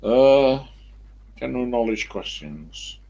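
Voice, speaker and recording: An elderly English man with a croaky smoker's voice, rambling casually to viewers on a live stream and answering his own questions. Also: mid-range voice, expressive, takes it slowly, dark tone. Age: 70s or older. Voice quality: croaky, husky smoker's voice with a cough.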